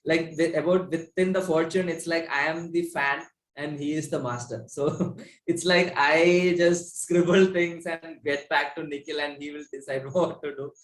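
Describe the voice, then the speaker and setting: A man's voice: fairly high pitch, fairly even intonation, moderate pace. A young man with an Indian accent, chatting casually and enthusiastically as an interview guest. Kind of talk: casual chat. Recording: echoey room.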